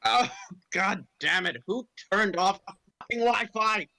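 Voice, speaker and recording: A man riffs playfully and swears freely during a comic script reading. His voice is a little high, very bright and sharp, with a creaky edge, recorded dry. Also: unhurried, slight background noise. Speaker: cast member in a comedy script reading. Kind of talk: comic improvised banter.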